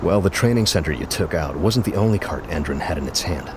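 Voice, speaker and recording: A man's voice: low-pitched, animated, brisk, noisy background. An actor playing an earnest former policeman turned committed resistance fighter in a video game cutscene. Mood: earnest, weary.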